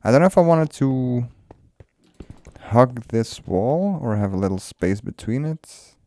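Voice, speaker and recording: A man's voice: medium pitch, animated, measured pace, dark tone, no room sound, studio quality. A man whose first language is Dutch, casually commenting while he builds in a game, musing aloud and laughing often. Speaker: let's play creator.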